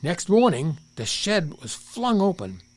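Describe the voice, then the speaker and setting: Animated, higher-pitched man telling stories. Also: measured pace. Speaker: storyteller. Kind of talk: scripted children's story narration.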